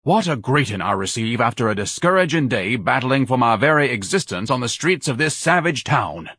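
A man's voice: medium pitch, very animated, brisk, sharp tone, husky, no room sound, clean studio sound, pitched above the performer's own voice, heavily acted. A man voicing a pompous, self-pitying, overeducated fat man who speaks in grand, ornate phrases and is easily outraged, in an audiobook.

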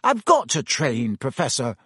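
A man's voice: mid-range voice, huge pitch swings, unhurried, fairly bright tone, breathy edge, no room sound, studio quality, exaggerated voice. A man voicing an earnest, weary teenage schoolboy in a plain, lightly lifted voice.

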